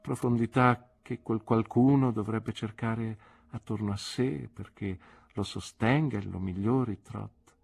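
A man's voice: lowish voice, steady tone, a little slow, gravelly, a little mellow, husky, pitched above the performer's own voice, heavily acted. A man putting on a shrewd elderly aunt. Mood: wistful. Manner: clipped, teasing, knowing remarks. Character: brisk elderly aunt, shrewd and gently scolding. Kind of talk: audiobook character voice.

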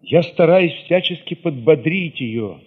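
A man with a husky voice reads a literary first-person story theatrically, moving between hushed passages and sudden swells. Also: a little high, animated, measured pace. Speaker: narrator reading an audio play. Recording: reverberant, slightly dull sound.

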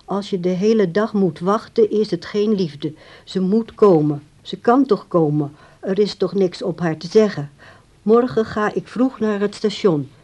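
An actress playing an insistent, coaxing grown daughter in a radio drama. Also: female voice, medium pitch, unhurried, mellow, natural voice. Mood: reading aloud. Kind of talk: radio drama dialogue and diary reading.

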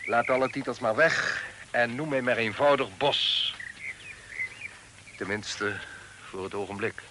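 A man's voice: medium pitch, a little slow, natural voice. Guy acting older dad in a radio play, commanding but modest, a bit amused, noisy old recording. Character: authoritative older father, modest host called Bos, amused and secretive.

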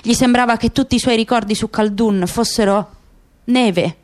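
Higher-pitched woman narrating radio theatre. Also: normal speed. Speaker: narrator and actor.

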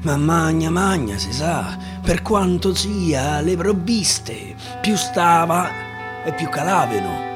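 A man with a Roman accent performs a dialect narrative poem in a high voice. He takes his time, shifting expressively between hushed and declaimed delivery, over prominent acoustic guitar music. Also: slow pace. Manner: expressive, swings from hushed murmurs to loud declaiming. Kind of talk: theatrical dialect monologue with guitar.

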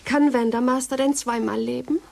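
High-voiced earnest young reporter. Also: female voice, moderate pace, creaky. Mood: sceptical, intrigued. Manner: earnest, questioning, expressive. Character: earnest, sceptical, easily hurt young woman reporter. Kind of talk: German radio play acting.

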